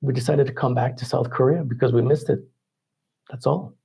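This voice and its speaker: A man in his forties with a French-Canadian accent, a podcast guest telling his story in a relaxed, casual way with many ums and pauses. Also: mid-range voice, creaky, slightly rough. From Ottawa, Canada. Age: 40s.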